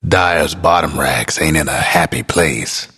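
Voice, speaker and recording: An actor playing a wry, folksy, world-weary frontier narrator as a video game announcer, gravelly and drawling. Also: male voice, measured pace, sharp tone, faint music behind, exaggerated voice. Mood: wry, deadpan. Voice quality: deep, gravelly, husky. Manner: laconic, drawling, dryly amused.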